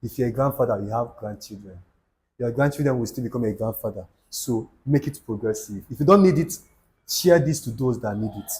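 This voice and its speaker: Young nigerian guy w igbo accent, warm dark voice, preaching at the camera, pidgin bits thrown in. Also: mid-range voice, fairly quick. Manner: preachy, repeats himself, Pidgin asides. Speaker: YouTube channel host. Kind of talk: motivational talk to camera.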